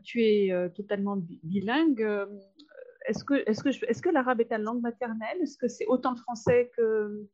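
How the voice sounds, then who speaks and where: A woman's voice: a little high, normal speed, a little mellow. A woman interviewing a guest, curious and rephrasing her questions as she goes. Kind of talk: conversational interview. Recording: dull lo-fi sound.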